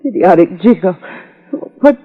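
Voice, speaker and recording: An actress playing a jilted, aging woman in a 1950s radio melodrama, theatrical, drawn-out and tragic. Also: on the low side, animated. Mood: wounded. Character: anguished, jilted woman feeling old and unwanted. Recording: muffled, phone-like old radio, long reverb.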